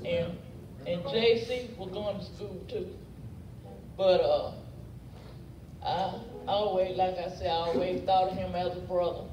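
High-pitched older man, echoey and distant. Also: fairly even intonation, a little slow, long pauses, lo-fi sound, noisy background. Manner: rambling, plain-spoken, repeats points. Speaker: mourner giving a family tribute. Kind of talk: informal funeral remembrance. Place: large church sanctuary, indoors. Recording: echoey church from far off, music behind.

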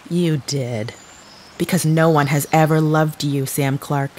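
A woman playing a cold, unsparing otherworldly woman in an audio drama, with measured, formal, precise diction. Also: low voice, steady tone, a little hiss or hum. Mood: cold, accusing.

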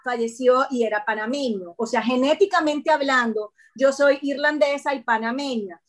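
A middle-aged woman, an actress chatting on a video call as an interview guest, expressive and laughing often. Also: sits high, normal speed. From Puerto Rico. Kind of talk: casual interview chat.

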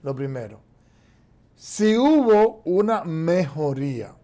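A man's voice: fairly high pitch, very animated, slow, warm tone. A man, giving emphatic economic commentary in a TV studio. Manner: emphatic, gestures constantly while explaining. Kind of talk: political commentary on a TV news show. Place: TV studio, seated in chair.

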